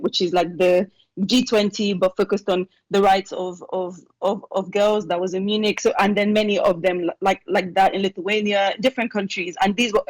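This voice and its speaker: A young woman, born in Nigeria and raised in England, sharing her story with a panel over a remote video call in a chatty way with quick asides. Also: mid-range voice, no room sound, low-quality recording. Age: young adult. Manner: chatty and warm, quick asides with light laughs. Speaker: alumna panelist.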